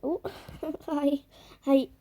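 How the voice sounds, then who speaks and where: High-pitched woman rambling over gameplay. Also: level tone, extremely slow, dark tone, a little hiss or hum. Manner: rambling, filler-heavy, trails off into murmurs. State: croaky voice. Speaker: gamer. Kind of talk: casual gameplay commentary.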